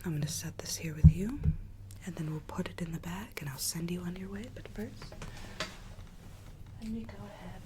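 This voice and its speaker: A woman voicing a conspiring, troubled Alice in an ASMR roleplay, in a hushed breathy whisper with a coaxing, quietly sinister manner. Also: lowish voice, normal speed, pauses often, very noisy, natural voice. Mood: coaxing, scheming. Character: whispering mad Alice, conspiring captor seeking her true self.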